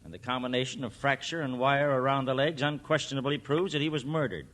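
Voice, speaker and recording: An actor playing a precise, self-assured forensic expert in 1940s radio drama style. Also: male voice, medium pitch, steady tone, fast talker, fairly bright tone, close to an everyday voice. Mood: clinical, satisfied.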